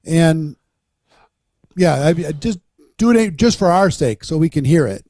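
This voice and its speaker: A man coaching people on a video call, talking bluntly but warmly, with plenty of reassurance. His voice sits a little high with a mellow, dark tone, and the sound is dry with no room echo. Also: animated. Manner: blunt, encouraging, quick to reassure. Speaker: presentation coach. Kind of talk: casual webinar talk.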